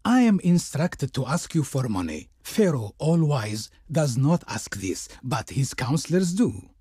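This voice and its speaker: Husky, swooping, pompous Egyptian royal envoy. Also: male voice, medium pitch, sing-song, a little slow, dark tone, dry recording, clean studio sound. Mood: obsequious, courtly. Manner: formal, declamatory, reverent. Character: proud, ceremonious Egyptian emissary of the Pharaoh, flowery and servile. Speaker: video game voice actor. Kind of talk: theatrical video game character dialogue.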